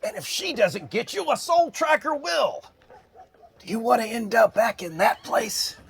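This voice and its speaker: A man's voice: very high-pitched, big pitch swings, measured pace, gravelly, a little hiss or hum, exaggerated voice. A man playing a jittery, exasperated, hard-drinking character in an afterlife audio drama, speaking dramatically. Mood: weary, grudging.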